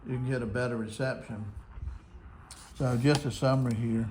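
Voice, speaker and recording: Man, American accent, mid-pitched voice, casual step-by-step tutorial, noisy radio static in the background. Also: fairly even intonation, normal speed, darkish tone. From United States. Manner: unscripted, step-by-step explaining. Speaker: shortwave radio hobbyist host. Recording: clear radio static and hiss.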